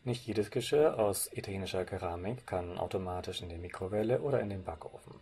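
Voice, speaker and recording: A man's voice: deep voice, expressive, fast talker, creaky, dark tone. A man from Germany presenting, reading scripted narration for a slide presentation in a formal way. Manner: formal, read-out delivery.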